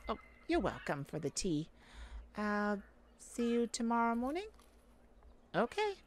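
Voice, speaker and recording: Woman playing a shy, slow-talking elf farmer. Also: fairly high pitch, sing-song. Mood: relaxed, shy. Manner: halting, polite, nervous little laughs. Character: shy, gentle elf farmer, sweet and easily flustered. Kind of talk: ASMR roleplay. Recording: clear background noise, farm chicken ambience. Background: farm ambience with chickens and rooster.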